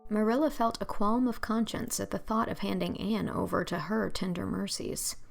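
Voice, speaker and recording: A woman's voice: medium pitch, fairly even intonation, moderate pace, creaky, faint music behind. A woman narrator reading a scripted storybook audiobook.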